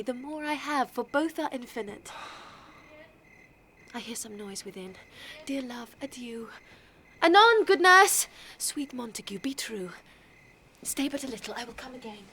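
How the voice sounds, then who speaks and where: An actress with an English accent playing a lovestruck, eager teenage noblewoman in a Shakespeare audio drama, tender and breathy, often dropping to whispers. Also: high-pitched, fairly bright tone, slight background noise, heavily acted. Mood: calling out. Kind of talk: classical verse audio drama.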